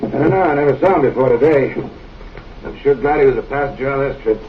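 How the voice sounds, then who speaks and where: An actor as a gruff stern sheriff. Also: male voice, medium pitch, quick pace, distant mic, slight background noise, close to an everyday voice. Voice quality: gruff, curt commands. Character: stern frontier sheriff man. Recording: muffled old film soundtrack with music.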